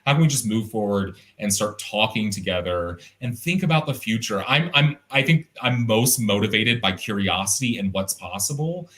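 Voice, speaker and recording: A young man speaks over a video call, his deep, resonant voice carrying a bright, forward edge. His pitch sits a bit low, and he rambles thoughtfully, restarting sentences and using lots of fillers. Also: animated, moderate pace, hardly any pauses. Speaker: artist guest. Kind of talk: casual conversational interview.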